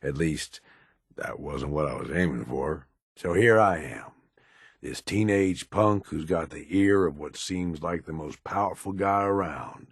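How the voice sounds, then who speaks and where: A middle-aged man with an American accent playing a hard-bitten, cynical raider lieutenant in a video game, with a gruff, streetwise, sardonic delivery. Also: sits low, unhurried, dry recording, studio quality, full character voice. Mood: candid, dry. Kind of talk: in-game character dialogue.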